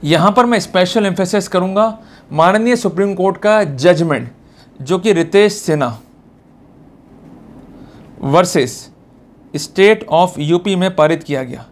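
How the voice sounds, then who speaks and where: An Indian man with a notably high voice presents a legal lecture. He speaks directly to the listener in an emphatic, exhorting way, posing rhetorical questions and mixing in English terms. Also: unhurried, pauses often. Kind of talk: motivational legal lecture in colloquial Hindi mixed with English terms.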